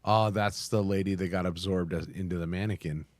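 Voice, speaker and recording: A man hosting a reaction video, reading text aloud somewhat haltingly and adding casual commentary. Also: deep voice, steady tone, dry recording. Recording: music playing behind the voice. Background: music.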